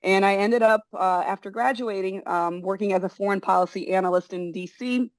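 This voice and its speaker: A woman's voice: medium pitch, fairly quick, no room sound. A middle-aged woman who grew up in Brazil and speaks both Portuguese and English, telling her story as an interview guest in a chatty, rambling way with many fillers and restarts. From born in the United States, raised in Brazil. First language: bilingual in Portuguese and English. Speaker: interview guest, forest school founder. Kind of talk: casual interview conversation.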